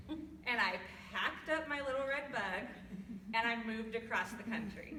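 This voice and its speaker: A young woman from California with a loud voice, giving a personal talk to an audience in a church hall without a microphone. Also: on the high side, noisy background. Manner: loud, laughing, self-deprecating. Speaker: guest speaker. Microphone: no microphone, far from recorder. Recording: echoey hall from far off.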